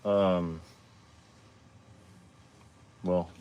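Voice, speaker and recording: Man, 30s, lowish voice; rambling livestream host, easygoing, lots of restarts. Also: level tone, drawn-out words, clean tone, no echo, highs cut off. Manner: rambling, restarts often, reads viewer questions aloud. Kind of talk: casual livestream chat.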